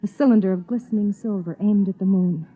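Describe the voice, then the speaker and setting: Actress as husky, uneasy woman passenger. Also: mid-range voice, brisk, dark tone, lightly acted. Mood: tense, hushed narrating. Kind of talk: 1950s radio drama, second-person narration. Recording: muffled, tinny 1950s radio recording.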